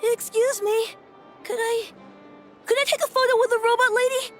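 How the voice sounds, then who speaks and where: An earnest little child in a game, hesitant and admiring. The voice is extremely high, over faint music. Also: female voice, fairly even intonation, normal speed, fairly bright tone. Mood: shy, admiring. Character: shy, earnest, admiring small child fan. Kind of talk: video game voice acting.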